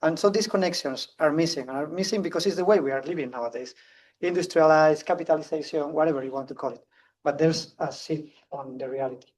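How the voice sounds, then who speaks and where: A man from Spain with a strong Spanish accent, giving an earnest, fast-paced conference talk with frequent restarts. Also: a little high, a little mellow, recorded from a distance, a little hiss or hum. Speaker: conference speaker. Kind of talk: public talk.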